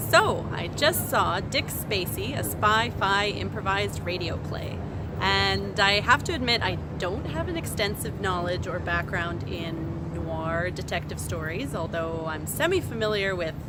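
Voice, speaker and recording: A woman's voice: mid-range voice, background noise. A woman giving a casual spoken theatre review, musing tentatively as she thinks aloud.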